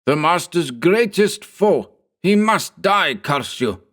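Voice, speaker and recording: A man's voice: a little high, expressive, slow, fairly bright tone, voice pushed up. A man voicing a menacing male henchman who hisses his words with murderous venom. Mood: hissing fury. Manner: hissing, vicious.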